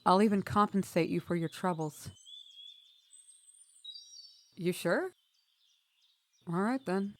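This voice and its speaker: A woman's voice: fairly low pitch, slow, pauses often. A woman playing an earnest, diplomatic young rebel leader in a fantasy audio roleplay. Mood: calm, friendly. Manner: polite and apologetic to strangers, exasperated with sister.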